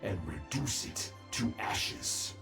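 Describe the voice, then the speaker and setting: A man's voice: on the low side, expressive, slow. A man playing a menacing, coldly commanding otherworldly master in a video game, speaking in a grandiose, ominous manner. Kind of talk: scripted video game voice acting. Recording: game audio with music behind.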